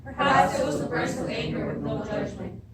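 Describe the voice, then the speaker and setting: A woman's voice: deep voice, sing-song, normal speed. A young woman acting a cautious, peacemaking chorus of citizens in stage readers' theatre, with a declamatory delivery. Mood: conciliatory. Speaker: drama student. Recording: roomy, distant mic, loud crowd noise.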